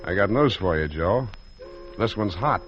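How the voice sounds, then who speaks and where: An actor playing a wisecracking hardboiled private detective, wry and deadpan, in 1940s radio style. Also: male voice, fairly low pitch, a little slow. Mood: cool, deadpan. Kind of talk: 1940s radio acting with narration. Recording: muffled 1940s radio recording, noisy music.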